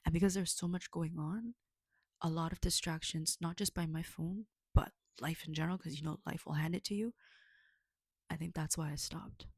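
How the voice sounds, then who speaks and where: Woman, low gravelly voice, casual and earnest, rambles and checks in with listeners; dry close recording. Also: fairly even intonation, normal speed, no room sound. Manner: rambling, earnest, frequent "okay?" check-ins. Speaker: storyteller reflecting on a fable. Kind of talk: casual spoken reflection to listeners.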